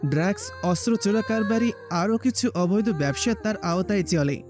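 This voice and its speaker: A man's voice: high voice, moderate pace, close to an everyday voice. A man playing a loyal, deferential gang lieutenant in an audio story. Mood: earnest, deferential. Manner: deferential, earnest. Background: music.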